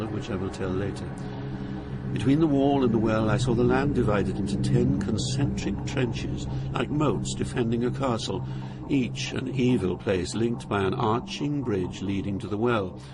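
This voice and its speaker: A man's voice: fairly low pitch, moderate pace, very noisy, close to an everyday voice. An actor playing an awed, fearful poet pilgrim, narrating his journey through hell in a solemn, theatrical manner. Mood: solemn dread. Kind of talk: dramatized literary narration.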